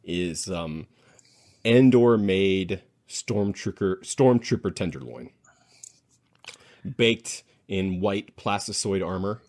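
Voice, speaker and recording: A man's voice: deep voice, lively intonation, takes it slowly, a little mellow. A man chatting casually and playfully as a co-host on a podcast panel, savoring his descriptions.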